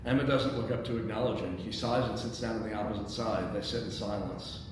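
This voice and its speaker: A man's voice: on the low side, steady tone, brisk. Give me a man moderating in a very echoey room. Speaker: moderator of a screenplay table read. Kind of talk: informal group discussion and reading aloud stage directions. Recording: echoey, noisy room, distant microphone.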